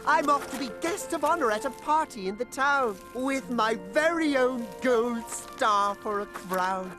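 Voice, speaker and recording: A woman voicing a vain, naive, dreamy young fir tree in an animated fairy tale, gushing and theatrical about itself. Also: high voice, measured pace. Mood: elated, proud. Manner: gushing, self-absorbed, theatrical. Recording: music behind the voice.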